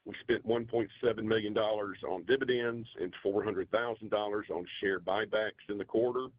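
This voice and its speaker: A man's voice: fairly low pitch, steady tone, normal speed, no room sound. A man giving a scripted financial report on an earnings call as a company finance executive, in a formal, businesslike way. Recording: dull, dry conference call audio.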